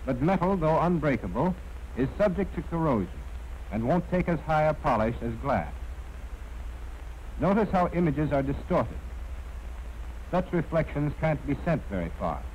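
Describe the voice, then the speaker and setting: An American man reading scripted instructional narration in a formal, measured, precisely enunciated way. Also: a little high, sing-song, darkish tone. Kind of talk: scripted training film narration. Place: narration recorded for an archival film. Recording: dull, noisy 1940s film soundtrack.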